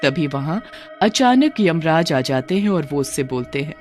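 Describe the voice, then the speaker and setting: A man's voice: on the high side, sing-song, moderate pace, soft background music. A man narrating a scripted cartoon story.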